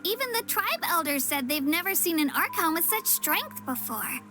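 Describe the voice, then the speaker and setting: Very high-voiced, upbeat girl guide. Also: sing-song, moderate pace. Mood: earnest, explaining. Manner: friendly, chatty, eager explaining. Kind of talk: video game voice acting. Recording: music behind the voice. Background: game music.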